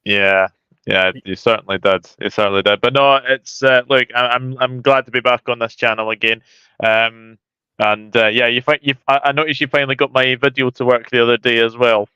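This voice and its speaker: A Scottish man with a smooth, clear voice talks casually as a guest on a fan show. He is a bit halting and self-mocking. The sound is dry and a little dull. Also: medium pitch, steady tone, pushed and bright, no echo. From Scotland. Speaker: guest co-presenter, football fan. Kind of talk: casual chat.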